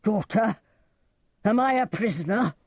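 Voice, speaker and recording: A woman voicing a mad old king, proud and indignant, declaiming loudly in a storybook reading. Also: medium pitch, animated, measured pace, husky, dry recording, studio quality, pitched above the performer's own voice. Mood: indignant, frantic.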